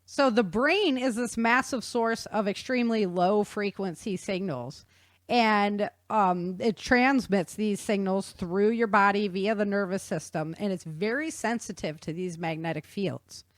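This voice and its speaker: A woman explaining things in an animated, conversational way with casual slang. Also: medium pitch, measured pace, dry recording. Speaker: guest author explaining research.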